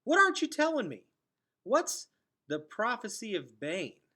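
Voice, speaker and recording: A man's voice: high voice, wildly swooping pitch, a little slow, bright, forceful tone, pitched above the performer's own voice, exaggerated voice. A man voicing a young boy hero in a storybook, blurting out anxious, insistent questions in a casual way. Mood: panicked.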